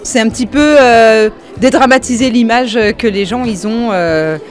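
Woman, lively, trade fair interview, handheld recorder, music behind. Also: a little high, measured pace. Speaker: finance and admin worker, fair volunteer. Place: indoor fair stand. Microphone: handheld recorder held by interviewer.

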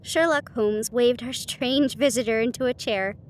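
A woman reading classic fiction aloud in a slightly halting manner, as in an intimate audio roleplay. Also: a little high, a little hiss or hum. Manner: halting, stumbles over difficult words, giggly. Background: ambient sound effects.

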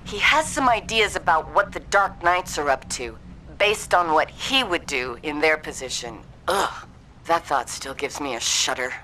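A woman's voice: deep voice, lively intonation, measured pace, background noise. A woman playing a composed, capable mission guide in a video game briefing. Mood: businesslike.

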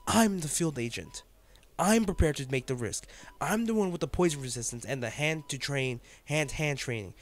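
A man's voice: mid-range voice, gravelly. A man playing an intense, protective male field agent in a dramatic audio roleplay, speaking emotionally and emphatically to his partner. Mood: angry, scolding. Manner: emotional, emphatic, addressing the listener directly.

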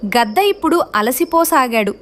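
A woman's voice: high voice, very animated, moderate pace, a bit bright. A woman reading a scripted children's story as narrator.

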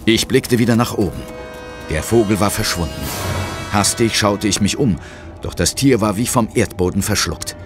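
An actor playing a resolute ghost hunter who tells his own adventure in a measured, suspenseful audio drama style. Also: fairly low pitch, natural voice. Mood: urgent, suspenseful. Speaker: first-person narrator and lead character. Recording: loud dramatic music and effects behind.

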